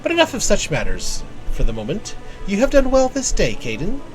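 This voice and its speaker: Man acting as guild official, fantasy game, polite, old-fashioned wording, high lilting delivery. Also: wildly swooping pitch, fairly quick, faint music behind, low-quality recording. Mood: encouraging, formal. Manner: formal, old-fashioned phrasing. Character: courteous, formal guild official giving praise and counsel. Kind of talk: video game dialogue read aloud.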